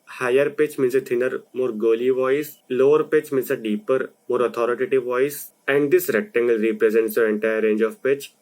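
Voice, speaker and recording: A young man from India who runs a video channel, talking through a voice lesson in a slow, deliberate explainer style. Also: medium pitch, steady tone, a little mellow. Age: young adult. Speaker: video creator. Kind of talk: explainer narration.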